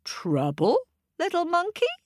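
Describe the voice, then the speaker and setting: A woman doing Papa God, a deep, calm old god. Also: a little slow, dry recording, clean studio sound, exaggerated voice. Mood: patient.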